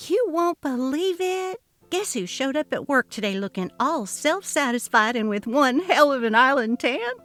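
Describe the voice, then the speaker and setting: A woman's voice: high-pitched, expressive, normal speed, a bit bright, voice pushed up. A woman voicing a sassy, emphatic female coworker who loves gossip, in a dramatic story reading. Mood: gleeful, gossipy.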